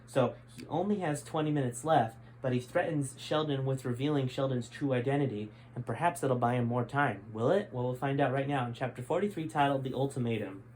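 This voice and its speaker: A man's voice: medium pitch, fairly quick, few pauses, darkish tone, a little hiss or hum, poor audio. A man reading aloud from his own book in a friendly, conversational way. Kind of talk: storytime reading.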